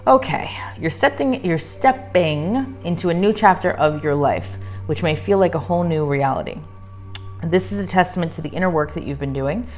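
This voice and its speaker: A woman's voice: fairly low pitch, expressive, normal speed, a little mellow, faint music behind. A woman doing a tarot reading to camera, reading card messages solemnly and then chatting casually between cards. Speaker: tarot reader. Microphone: microphone.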